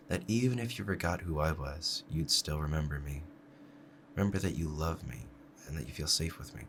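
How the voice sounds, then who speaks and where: A man playing a doting, obsessive kitsune husband in an intimate ASMR roleplay, speaking softly and clingily to the listener. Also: extremely low pitch, vocal fry, slight background noise, exaggerated voice. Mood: tender, coaxing. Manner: soft, clingy, adoring, hesitant.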